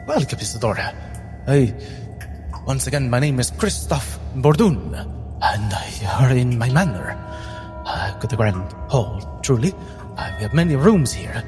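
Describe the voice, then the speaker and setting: A man playing a nervous, courteous noble manor host in a tabletop roleplay, speaking in halting, formal phrases. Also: medium pitch, sing-song. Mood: flustered, welcoming. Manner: hesitant, halting, formal politeness. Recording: long hall reverb under loud music.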